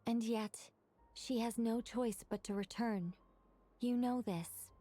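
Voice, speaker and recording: A woman playing a poised, knowledgeable young woman in a video game, explaining ancient lore in a formal, measured manner. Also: on the high side, fairly even intonation. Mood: earnest. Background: background music.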